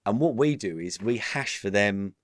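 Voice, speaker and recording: A low-voiced man talks business on a podcast, animated and rambling, often restarting his sentences. The sound is close and dry, with no room around it. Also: normal speed, no echo. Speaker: podcast guest, company executive. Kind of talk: casual business interview.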